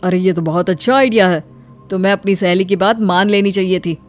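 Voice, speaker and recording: A caring, practical husband in a cartoon moral tale, as a woman puts him on. He talks calmly and reassuringly. Soft music runs behind the voice. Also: medium pitch, fairly quick, lightly acted. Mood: agreeable. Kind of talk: cartoon moral story dialogue.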